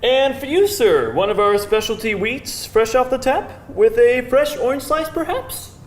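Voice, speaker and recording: Guy doing a showy eager bartender, really high voice for a man, echoey room. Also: expressive, unhurried. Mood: eager, boastful. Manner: pushy, showy patter. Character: chatty, overeager, boastful male bartender. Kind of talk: live screenplay table read. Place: live table read before an audience. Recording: roomy live venue, faint noise.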